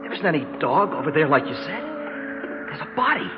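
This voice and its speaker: Nervous young city fellow, lively high voice. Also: male voice, brisk, muffled recording. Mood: panicked. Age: young adult. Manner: hesitant, stammering, rattled. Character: nervous, reluctant young city man out of his depth. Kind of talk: 1960s radio drama acting. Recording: band-limited radio recording, loud music.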